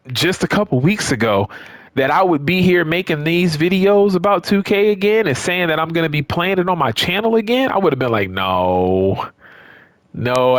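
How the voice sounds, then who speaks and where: A man's voice: fairly high pitch, no echo. A man talking casually and off the cuff to his viewers as a gaming YouTuber, emphatic and repeating phrases for stress, often checking in with the listener. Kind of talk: casual, unscripted vlog commentary to viewers.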